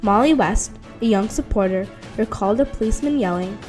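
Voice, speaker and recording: A woman's voice: mid-range voice, fairly quick, a little mellow. A woman reading scripted documentary narration. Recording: music under the voice. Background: background music.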